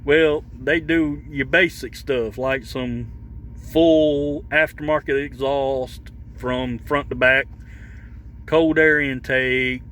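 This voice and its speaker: A man giving casual, unscripted talk about gear for his vlog, halting and rambling as he searches for words. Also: mid-range voice, fairly even intonation, fairly bright tone, slight background noise. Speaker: vlogger showing his CB radio.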